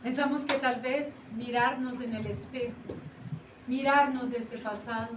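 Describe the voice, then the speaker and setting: An actress speaking straight to a theater audience in a chatty, playfully confused manner. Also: female voice, on the high side, fairly even intonation, a little slow. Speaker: stage actor. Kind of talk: theatrical direct address to the audience. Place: small theater with a live audience. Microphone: far camera. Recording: echoey room, far camera, audience noise.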